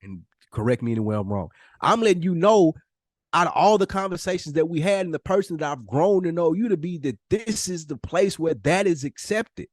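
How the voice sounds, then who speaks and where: Emphatic man, higher-pitched, very dry sound. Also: expressive, fairly quick, dry recording. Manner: emphatic, earnest, profane. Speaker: podcast co-host. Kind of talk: casual, candid podcast conversation.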